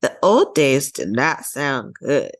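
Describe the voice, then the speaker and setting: A woman's voice: a bass voice, big pitch swings, slow, voice pushed down, full character voice. A woman voicing a curious young great-grandson in a children's storybook. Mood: dismayed. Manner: eager questioning, groaning. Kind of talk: children's storybook character reading.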